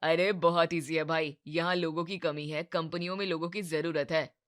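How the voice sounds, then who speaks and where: A confident young city man acted by a woman pushes hard in a loud voice. He is insistent and persuasive, the eager friend of an animated moral story. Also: sits low, moderate pace, close to an everyday voice.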